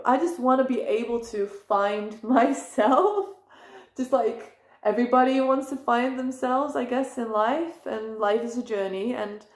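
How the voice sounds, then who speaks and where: Rambling woman vlogging, echoey room. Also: a little high. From Netherlands. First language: Dutch. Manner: rambling, self-correcting, many fillers. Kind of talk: casual personal talk to camera.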